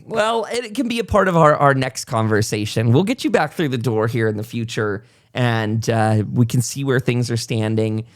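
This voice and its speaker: A man's voice: medium pitch, animated, moderate pace, few pauses. A man hosting a podcast in a casual, conversational way, informal and encouraging as he rambles along. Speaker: podcast host.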